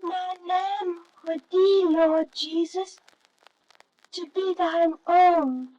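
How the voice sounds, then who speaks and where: A woman's voice: very high voice, fairly even intonation, drawn-out words, warm tone, muffled recording, exaggerated voice. A woman voicing an earnest, humble young shepherd boy in an old-fashioned radio nativity drama, with archaic storybook diction. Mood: tender, adoring.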